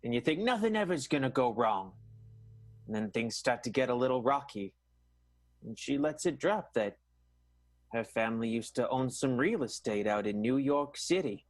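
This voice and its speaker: A man's voice: mid-range voice, lively intonation, normal speed, no echo, close to an everyday voice. Man acting a glib, entitled theater manager, dry sound. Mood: glib, rueful. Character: self-entitled, hapless theater manager husband, glib storyteller. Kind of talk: improvised radio soap monologue.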